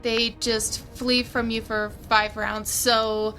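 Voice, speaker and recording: A woman's voice: fairly high pitch, little pitch movement, slow, bright, forceful tone, soft background music. A woman playing a tabletop roleplaying game, thinking aloud and casually narrating what her characters do.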